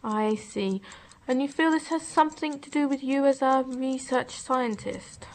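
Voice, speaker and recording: An actress playing a calm, sceptical psychiatrist who probes in a measured, patient, clinical manner in a radio drama. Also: female voice, sits high. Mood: measured probing. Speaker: actor in an audio drama.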